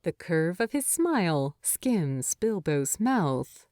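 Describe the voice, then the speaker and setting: Expressive, unhurried woman storyteller. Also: lowish voice, dry recording, studio quality. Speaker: fan fiction narrator. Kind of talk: expressive scripted story narration.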